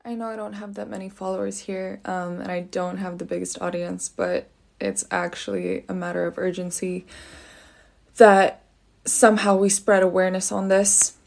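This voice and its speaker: A woman's voice: mid-range voice, moderate pace, vocal fry, darkish tone. A woman from California giving an urgent, informative monologue for a short social media video, with frequent "um" fillers. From United States (California).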